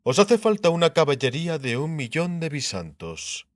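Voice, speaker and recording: Sardonic king, very animated delivery. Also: fairly high pitch, moderate pace, no room sound. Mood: matter-of-fact. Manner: pompous, dry, sardonic. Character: shrewd, mocking, self-assured male king. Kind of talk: dramatised audiobook dialogue.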